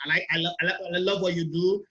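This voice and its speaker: Male interview host, Nigerian accent. Conversational, higher-than-average pitch, sharp forceful tone. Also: flat delivery, fast talker, dull audio.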